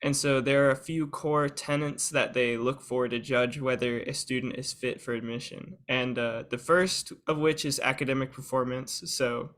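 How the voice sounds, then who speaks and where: A man's voice: mid-range voice, fairly bright tone. A young man, a student guest, giving a prepared, organized explanatory talk on a video call. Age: young adult.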